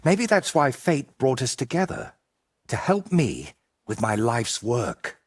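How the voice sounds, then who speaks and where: An actor playing an ambitious, vain medieval painter in a video game, speaking in an articulate, theatrical and persuasive way. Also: male voice, mid-range voice, wildly swooping pitch, slow, breathy edge, exaggerated voice. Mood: proud, persuasive. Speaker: painter character. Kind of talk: video game cutscene dialogue.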